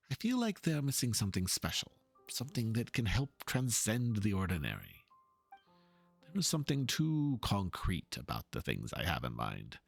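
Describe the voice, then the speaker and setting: Man acting out fervent video game painter, florid and dramatic, lowish creaky voice, very animated pitch. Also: full character voice. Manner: florid, dramatic, halting mid-thought. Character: theatrical, earnest male painter, pompous and fervent about art. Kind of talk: dramatic reading of game dialogue.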